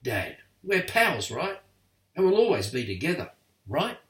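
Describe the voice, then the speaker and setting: An older man doing Simba, a high eager cub. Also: unhurried. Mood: pleading. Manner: high, bouncy and excited. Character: eager boastful young lion cub. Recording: echoey room, far from mic.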